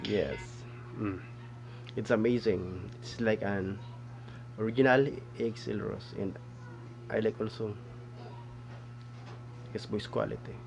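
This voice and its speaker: A man in his thirties from the Philippines with a Filipino accent, hosting a casual music reaction video from a small room, enthusiastic and halting, with many fillers and repeated exclamations. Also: lowish voice, steady tone, gravelly, rough recording. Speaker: reaction channel host. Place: small indoor room with patterned cloth backdrop. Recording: faint music playing behind.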